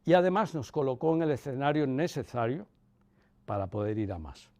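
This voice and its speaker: A man in his sixties with a Cuban accent softened by Castilian Spanish, reminiscing in an interview in a measured way, with pauses and emphatic repetition. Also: mid-range voice, sing-song. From Cuba. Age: 60s, about 64. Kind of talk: reflective personal reminiscence.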